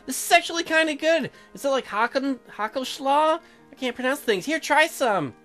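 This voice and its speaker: A man's voice: very high voice, animated, normal speed, pushed and bright, pitched above the performer's own voice, exaggerated voice. A man voicing a blunt young newlywed woman colonist in a visual novel, questioning and easily put out, hamming it up. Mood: curious, softened. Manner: indignant, questioning. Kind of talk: hammy visual novel character read-out. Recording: music behind the voice.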